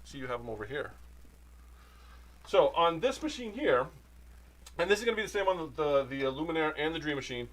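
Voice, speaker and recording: A man's voice: fairly high pitch, sing-song, a little fast, long pauses, fairly bright tone, poor audio. Rambling jokey man demonstrating machine repair. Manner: rambling, jokey, easily sidetracked. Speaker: sewing machine instructor. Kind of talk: casual demo with banter.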